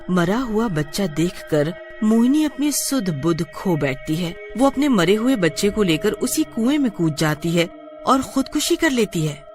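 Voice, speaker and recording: Lively, lower-pitched woman storyteller. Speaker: narrator. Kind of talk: dramatic scripted story narration.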